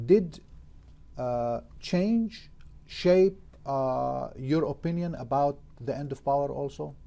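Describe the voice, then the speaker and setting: Mellow-voiced man hosting a TV interview. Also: medium pitch, vocal fry. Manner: hesitant questioning with many fillers. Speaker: TV interview host.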